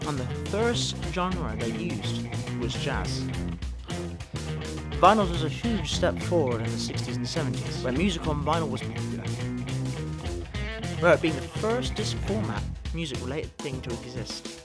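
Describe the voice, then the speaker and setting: A man's voice: mid-range voice, very animated, a little slow, vocal fry. A young man presenting a news-style history video in an enthusiastic, informal, exclamatory way. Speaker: student presenter. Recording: music behind the voice.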